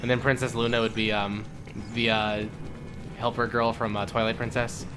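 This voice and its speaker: A man's voice: medium pitch, flat delivery, moderate pace. A man giving casual, teasing commentary as a co-host on a video game playthrough. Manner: teasing, wry. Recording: game music clearly behind the voice. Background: video game music.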